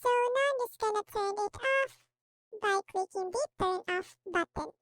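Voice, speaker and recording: A woman demonstrating software through a voice changer that turns her into a small, childlike chipmunk-like voice. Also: normal speed, soft background music. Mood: plain, explanatory. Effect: voice changer pitching the voice up. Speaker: tutorial presenter.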